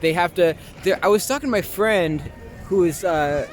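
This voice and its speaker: A man's voice: high-pitched, moderate pace, soft background music. A young man from Long Island chatting casually and informally in an outdoor interview in a city park, swearing freely and poking fun at himself. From Long Island, New York. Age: young adult. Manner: informal, self-deprecating, frequent swearing and "like". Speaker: interview guest, performer. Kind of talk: casual street interview chat. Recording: outdoor recording with clear traffic noise.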